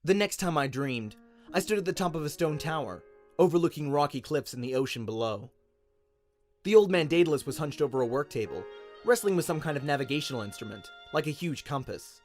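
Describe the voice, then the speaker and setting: A man's voice: fairly high pitch, animated, brisk, soft background music. A man with an Australian accent giving dramatic scripted narration as a storyteller in a radio-play style. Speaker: storyteller, voice actor.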